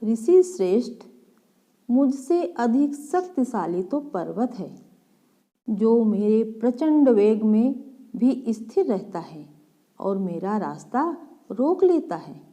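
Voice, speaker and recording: A woman's voice: on the high side, very animated, slow pace, mellow. A woman voicing a modest wind spirit that speaks formally and respectfully in a storybook fable.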